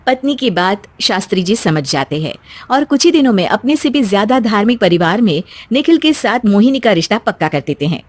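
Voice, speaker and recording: A woman's voice: medium pitch, expressive, brisk, few pauses, no room sound. Woman narrating a cartoon story, dry sound.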